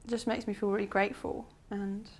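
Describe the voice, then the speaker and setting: A young woman, a bride-to-be, talking softly and reflectively to camera, affectionate and laughing gently now and then. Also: medium pitch, flat delivery, brisk. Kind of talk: reflective documentary talk to camera.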